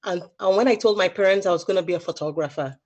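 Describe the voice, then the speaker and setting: Nigerian woman telling a lively story. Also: lowish voice, quick pace, husky, dull audio. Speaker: photographer, panel guest. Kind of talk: casual panel talk.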